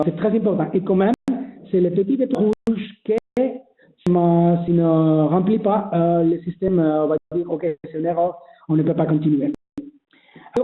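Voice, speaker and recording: A man who is not a native French speaker, presenting a webinar and explaining online registration, hesitant with many fillers and restarts. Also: fairly high pitch. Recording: echoey phone-like webinar audio.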